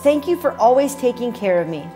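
A young woman giving a children's message in a bright, animated way, talking to kids in a living-room church set. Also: a little high, a little fast, music in the background. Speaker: children's pastor.

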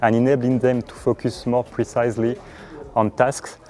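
A man in his forties giving an explanatory interview for a corporate video, in measured expert fashion. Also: mid-range voice, flat delivery, warm tone. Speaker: air traffic control expert interviewee. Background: background music.

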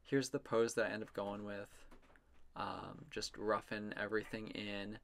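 Slow-paced man, casual art commentary. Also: on the low side, level tone, creaky, a bit bright. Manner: rambling, self-amused, trailing musings. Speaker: illustrator narrating own drawing process.

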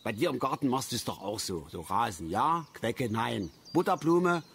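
Grumpy middle-aged angler, self-righteous, stammering, colloquial, lively swooping intonation, radio play, outdoor ambient noise. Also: male voice, medium pitch, moderate pace, vocal fry. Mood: ranting, grumbling. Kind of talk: naturalistic German radio play acting.

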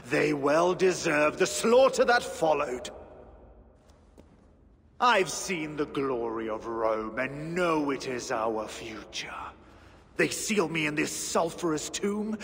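Mocking ghost king, game: haughty, slow, reverberant, faint hiss behind. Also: on the high side, expressive, a bit bright, heavily acted. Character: scornful ghost of a Rome-loving king.

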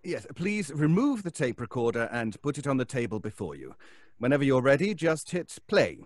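An actor playing a stiff, formal, menacingly polite lawyer who insists on obedience in a horror audio drama. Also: male voice, mid-range voice, sing-song, moderate pace, no echo, studio quality. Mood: coldly polite. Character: menacing, coldly polite male lawyer enforcing a will. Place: indoors, on a video call.

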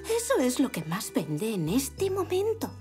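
A woman's voice: high-pitched, wildly swooping pitch, a little slow, a little mellow, soft background music, exaggerated voice. A woman voicing a chatty, effusive adult female zebra in a children's cartoon dub. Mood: assertive.

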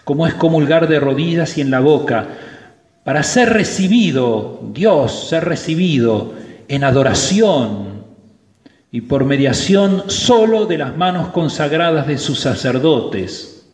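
Slow-preaching priest in an echoing church. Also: male voice, on the high side, lively intonation, darkish tone. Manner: slow, emphatic preaching with rising stresses. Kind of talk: sermon at Mass. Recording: roomy church with long reverb.

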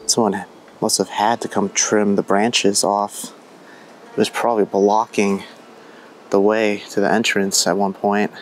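A young man vlogging casually as he walks through an abandoned mansion, chatty and excitable. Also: fairly low pitch, unhurried. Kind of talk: casual walkthrough vlog. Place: indoors in an abandoned house.

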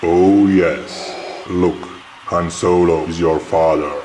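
A man playing a pompous, commanding masked dark-lord grandfather in a cartoon parody. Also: low voice, steady tone, slow, over loud music, low-quality recording. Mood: lecturing. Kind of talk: cartoon parody character acting. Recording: loud background noise.

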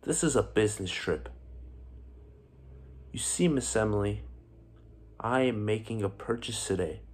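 A man acting a theatrical monologue as a bitter, menacing self-made Italian businessman out for revenge, speaking deliberately with pointed pauses in a husky voice. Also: on the low side, a little mellow. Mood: defiant.

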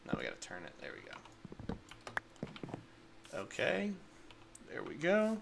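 A man's voice: a little high, huge pitch swings, unhurried, gravelly, heavy background noise. Congested man chatting over gameplay. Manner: rambling, chatty, addresses chat as guys. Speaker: gaming creator. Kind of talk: casual unedited gameplay commentary.

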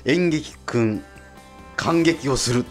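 A man's voice: medium pitch, takes it slowly. A man hosting a casual radio chat. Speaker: radio host, stage actor. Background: background music.

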